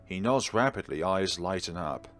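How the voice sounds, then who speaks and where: A man's voice: sits low, expressive, normal speed, a bit bright, soft background music. A man narrating and commenting on a game as he plays it. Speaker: game streamer. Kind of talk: let's play commentary and read-aloud narration.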